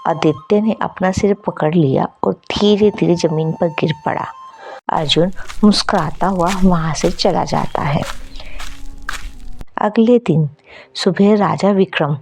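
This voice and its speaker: A woman's voice: fairly low pitch, slow, a little mellow, a little hiss or hum. A woman reading scripted narration for a story as its narrator.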